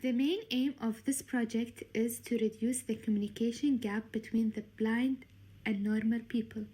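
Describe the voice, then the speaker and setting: Woman, fairly high pitch, student presenter, formal scripted delivery. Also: fairly even intonation, moderate pace, mellow, husky.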